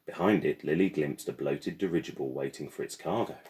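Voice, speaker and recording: Very deep-voiced male storyteller. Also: fairly quick, a little mellow. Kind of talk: reading a children's novel aloud.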